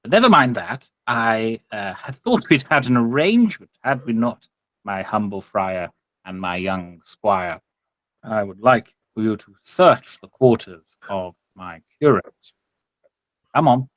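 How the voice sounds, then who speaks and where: A man voicing a pompous, fawning village priest in a tabletop game, with halting, formal, unctuous speech. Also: fairly low pitch, very animated, a bit bright, no room sound, poor audio. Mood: pompous, courteous.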